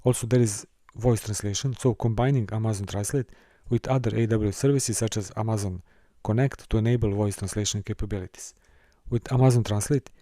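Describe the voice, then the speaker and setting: A man from Serbia, teaching a course and walking through a software console, with careful, halting phrasing. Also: lowish voice, dry recording, clean studio sound. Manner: careful, halting delivery with small restarts and non-native phrasing. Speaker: course instructor. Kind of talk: screen-recorded software tutorial.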